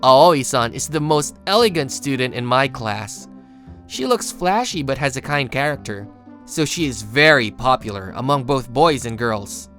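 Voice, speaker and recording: A reserved, studious teenage boy played by a voice actor in a manga cartoon. He sounds introspective and self-doubting, with lively intonation at a middling pitch. Music plays softly behind him. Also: normal speed. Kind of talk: manga video character narration and dialogue.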